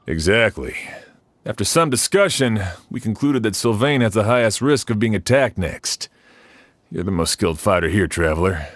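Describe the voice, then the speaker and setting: A man voicing a stern, practical sheriff in a video game, measured and businesslike. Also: on the low side, animated, dry recording, lightly acted.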